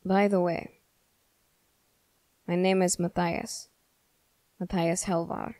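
A woman voicing a stern, wary young male soldier in measured, formal, halting phrases for an ASMR roleplay. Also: lowish voice, level tone, mellow, dry recording. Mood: gently stern. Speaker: roleplay voice performer. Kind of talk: ASMR character roleplay addressed to the listener.

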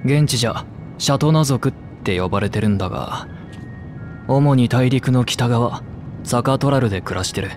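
A man plays a laconic adventurer who calmly recounts a stormy voyage in a dry, matter-of-fact tone. The voice has a lot of echo and a long reverb tail, with clear music playing behind it. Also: mid-range voice, normal speed, close to an everyday voice. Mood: reflective. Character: calm, laconic male adventurer recounting the past. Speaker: narrator. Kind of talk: reflective game narration.

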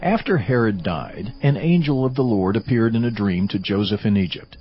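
A man narrating a dramatized Bible in a measured, formal scripted style. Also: fairly low pitch, animated. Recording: slightly dull audio over music.

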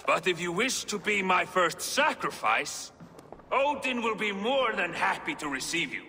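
A man's voice: sits high, big pitch swings, measured pace, slight background noise, heavily acted. An actor playing a brutal, boastful male Viking warrior who taunts and insults his foe, in a video game cutscene. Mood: taunting. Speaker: villain. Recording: game cutscene with loud score, long reverb.

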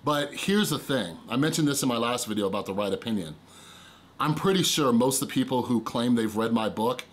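A man in his thirties, a YouTube creator, speaking earnestly to the camera from a small room. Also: mid-range voice. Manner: earnest, pleading. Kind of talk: casual talk to camera.

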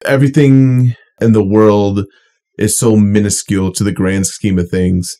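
A man's voice: fairly low pitch, steady tone, unhurried, warm tone. A young man co-hosting a podcast in casual chat, rambling and filling his sentences with "like.". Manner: rambling, full of "like". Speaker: podcast co-host.

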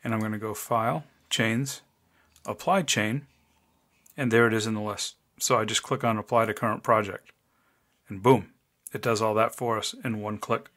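A man with a deep voice, hosting a casual screencast tutorial and talking through each click step by step. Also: fairly even intonation, moderate pace, a bit bright. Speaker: software tutorial host. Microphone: desk microphone, voice-over of screen recording.

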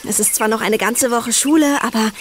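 Teenage girl played by a woman, bright-spirited and horse-mad, high-pitched, for a children's radio play. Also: brisk, a little hiss or hum, natural voice. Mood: cheerful, eager. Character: cheerful horse-loving teenage girl detective. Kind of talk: children's audio drama acting.

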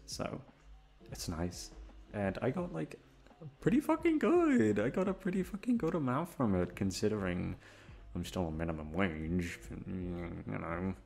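A young British man chatting casually and hesitantly, meandering, repeating words and gently mocking himself. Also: fairly low pitch, creaky. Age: young adult. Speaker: voice actor talking to listeners. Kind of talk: casual rambling chat.

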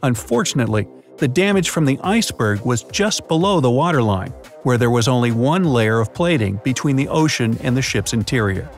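Male voice-over narrator, scripted explainer, playful asides, mid pitch, soft music behind. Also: animated, brisk, few pauses. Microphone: studio voice-over. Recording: faint background music.

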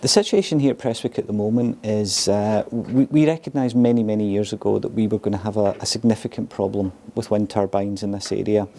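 Low, mellow middle-aged man. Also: brisk, creaky, few pauses. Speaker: air traffic control manager. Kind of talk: explanatory interview. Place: indoors, glazed control tower room. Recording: faint room background noise.

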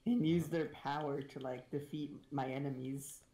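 Low-voiced chatty woman streamer. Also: fairly even intonation, normal speed, crowd noise. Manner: chatty, laughs often, thinks aloud about combos. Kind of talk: casual gaming chat. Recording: roomy cheap mic with game music.

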